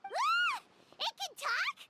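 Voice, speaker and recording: A woman voicing a tiny, childlike, excitable and naive fairy companion in an anime-style game, with a squeaky voice. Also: slow, heavy background noise, full character voice. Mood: shocked, indignant. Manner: exclaiming, refers to herself by name.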